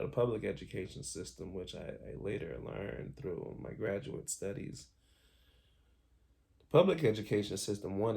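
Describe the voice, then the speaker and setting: Young man, born in Haiti, deep voice with heavy fry, candid confessional host. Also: mellow. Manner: frequent ums, candid, self-correcting. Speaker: podcast host telling his own story. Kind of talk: reflective personal storytelling.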